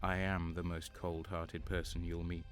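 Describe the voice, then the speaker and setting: A man's voice: sits low, level tone, a little slow, faint music behind. An actor playing a cynical, cold and sadistic soldier who narrates his crimes in a brooding, sardonic way in an audio drama.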